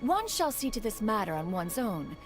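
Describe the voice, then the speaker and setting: A woman's voice: medium pitch, very animated, moderate pace, over music, close to an everyday voice. A woman voicing a proud, aloof, motherly immortal in a video game, with formal, archaic speech. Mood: composed. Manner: formal, haughty, archaic wording.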